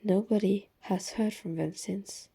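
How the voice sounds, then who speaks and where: A woman reading a scripted story in a soft, breathy, hushed voice with gentle pauses. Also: mid-range voice, steady tone. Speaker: narrator.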